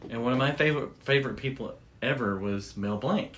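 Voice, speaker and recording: A man's voice: medium pitch, a little fast, a bit bright, a little hiss or hum. Man chatting as an enthusiastic show host. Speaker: podcast host. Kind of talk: casual chat about radio history.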